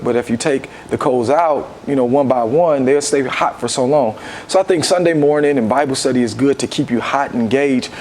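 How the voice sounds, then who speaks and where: A man's voice: medium pitch, darkish tone, slight background noise. An American man giving earnest, reflective interview answers, building his points step by step as a guest. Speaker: guest, ministry founder and book-drive leader.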